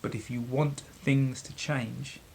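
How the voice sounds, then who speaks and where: A middle-aged man reading a children's story aloud, dramatically and with deliberate pauses. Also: mid-range voice, steady tone, vocal fry, darkish tone, a little hiss or hum. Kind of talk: expressive children's storybook narration.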